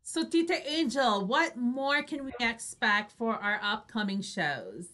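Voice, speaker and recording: A woman's voice: on the high side, lively intonation, a little slow, bright, forceful tone. A woman co-hosting a casual chat, talking in a chatty way and slipping between English and Tagalog. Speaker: co-host. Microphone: video call.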